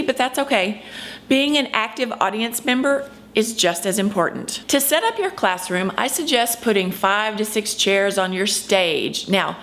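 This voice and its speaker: A woman's voice: medium pitch, fairly bright tone. A woman in her fifties presenting a scripted instructional video as a drama teacher, speaking emphatically to camera. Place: indoor room at home.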